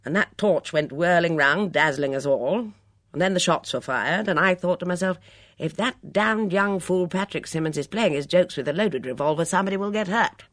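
A woman's voice: fairly low pitch, normal speed, no room sound, clean studio sound, natural voice. A woman voicing a brusque, no-nonsense countrywoman in an audiobook, blunt and commanding, with clipped slang. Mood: brusque, matter-of-fact. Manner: blunt, bellowing, clipped upper-class slang.

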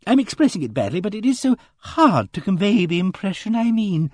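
Man playing a fussy elderly spinster, high swooping voice, soft and breathy, dry close sound, genteel and fretful. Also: very animated, brisk, no echo. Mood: confiding. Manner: genteel, fluttering, reproachful. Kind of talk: audiobook character voice.

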